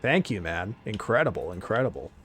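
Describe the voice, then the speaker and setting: Excitable male streamer losing his voice. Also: fairly low pitch, brisk, slight background noise. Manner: excitable, thanking viewers, swearing in surprise. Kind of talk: casual live-stream chat.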